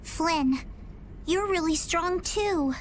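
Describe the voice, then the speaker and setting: A young girl pirate with a high, light voice who asks curious, probing questions in a gentle way. Faint noise sits under her lines. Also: drawn-out words, heavily acted.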